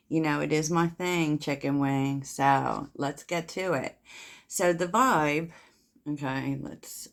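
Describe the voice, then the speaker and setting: Woman, soft voice, low-pitched, unhurried, chatty tarot reader, rambling with fillers. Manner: soft-spoken, rambling, giggly, many fillers; chatty, laughs at her own jokes. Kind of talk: casual tarot reading to camera.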